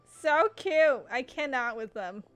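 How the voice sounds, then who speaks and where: A woman's voice: high-pitched, animated, slow, over music. A woman streaming a game with casual, gushing, reactive commentary.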